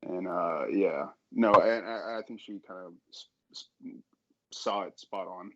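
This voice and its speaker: A man talking casually as an interview guest, earnest and halting, often stammering over repeated words. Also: low-pitched, highs cut off. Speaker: audio drama creator and editor, podcast guest.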